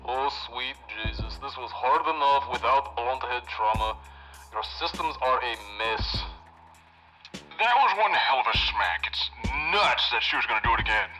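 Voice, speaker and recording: A man playing a sardonic, excitable hacker who guides an agent, drawling his lines in an audio drama. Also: mid-range voice, moderate pace, faint music behind, low-quality recording. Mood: sardonic, incredulous.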